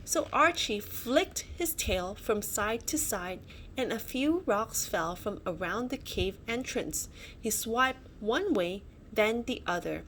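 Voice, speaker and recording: A woman storyteller reads a children's picture book aloud. She takes her time and varies her pitch dramatically, adding small asides. Faint noise sits behind the voice. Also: slow, rough recording. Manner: dramatic, expressive.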